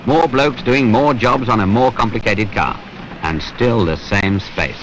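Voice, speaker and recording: Wry, low-voiced British narrator, played by a man. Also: a little slow, lightly acted. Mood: wry, exasperated. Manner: colloquial, sardonic, grumbling. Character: wry, world-weary working man narrating factory troubles. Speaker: film narrator. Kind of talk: conversational scripted narration in a 1970s training film. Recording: noisy 1970s film soundtrack.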